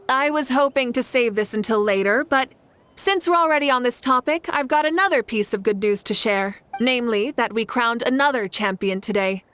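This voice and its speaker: A woman playing a confident, gracious warrior ruler in a video game, with stately, measured declarations. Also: on the high side, a bit bright, smooth, close to an everyday voice. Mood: proud, amused. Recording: music behind the voice.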